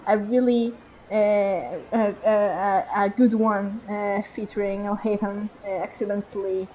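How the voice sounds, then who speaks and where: A woman's voice: medium pitch, steady tone, unhurried, mellow, a little hiss or hum, rough recording. A woman streaming a game, rambling in casual commentary with frequent laughter and restarts.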